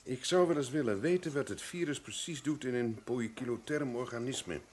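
A man playing a calm, methodical scientist in an old radio play, explaining things in a measured way. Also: mid-range voice, a little hiss or hum, close to an everyday voice. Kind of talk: 1950s-style radio drama acting.

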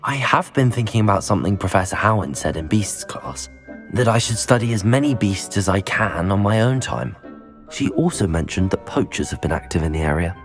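A man's voice: lowish voice, animated, a little fast, faint music behind. An actor playing a polite, composed young male student wizard in a video game. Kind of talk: scripted video game character acting.

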